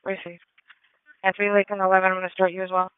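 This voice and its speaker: A woman's voice: mid-range voice, completely flat delivery, quick pace, clean tone, no room sound. A woman working as a police and fire dispatcher over two-way radio, calling out incidents and numbers in a clipped, procedural way. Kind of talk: scripted-sounding radio dispatch calls. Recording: tinny narrow-band radio scanner feed.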